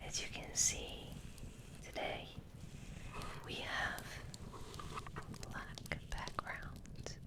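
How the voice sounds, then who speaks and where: A woman giving a soft whispered ASMR ramble in an unhurried, halting way, gently repeating words, close to the microphone. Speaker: host of an ASMR channel. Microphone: close microphone resting on the table.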